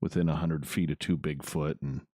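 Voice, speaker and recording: A man's voice: rumbling low, fairly even intonation, a little fast, warm tone, dry recording, studio quality. A man hosting a late-night radio talk show, chatting casually and laid-back, often tacking "man" onto his sentences.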